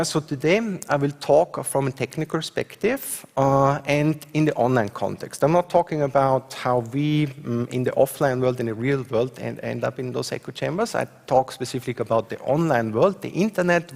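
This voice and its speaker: A man with an Austrian accent lectures from a stage, his mid-pitched voice carrying a gravelly edge. He talks hesitantly, full of filler sounds, and often checks in with his listeners. The sound rings with a long reverb, as in a large lecture hall. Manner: hesitant, many fillers, asks "yeah?" to check in with the audience. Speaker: university professor. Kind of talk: conference talk with slides.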